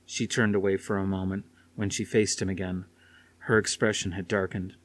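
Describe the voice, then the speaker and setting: Low-voiced man narrating fiction. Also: flat delivery, moderate pace. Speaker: audiobook reader. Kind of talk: scripted narration, dramatic fiction reading.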